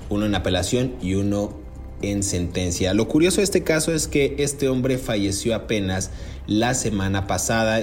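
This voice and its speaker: A man hosting a true crime podcast, narrating in a dramatic, measured way and chatting with his co-host. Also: on the low side, a little mellow, a little hiss or hum.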